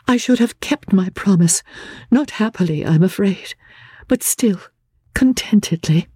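A woman voicing an anguished, gentle young gentlewoman, earnest and halting, in a dramatic classic audiobook. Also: mid-range voice, expressive, husky, no room sound, clean studio sound, pitched above the performer's own voice. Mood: sorrowful, earnest.

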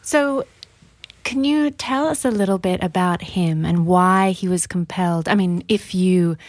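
A woman's voice: on the low side, unhurried, no echo. A woman hosting a podcast interview, asking questions in a conversational style.

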